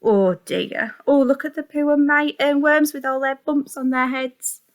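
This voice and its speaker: A woman's voice: high-pitched, a little slow. A woman teacher reading a picture book to young children in a sing-song, exaggerated storytelling way, with questions to the listeners. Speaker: nursery and reception teacher.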